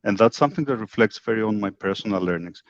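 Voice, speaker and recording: Low-voiced man, dull lo-fi audio. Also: animated, fast talker, creaky, no echo. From Greece. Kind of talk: conversational personal reflection.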